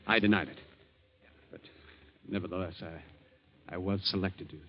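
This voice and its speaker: A man playing a nervous, earnest fugitive who speaks haltingly in an old-time radio drama, on a dull vintage recording. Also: sits low, steady tone. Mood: halting, grave. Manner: hesitant, halting. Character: nervous, earnest wanted Austrian man protesting his innocence.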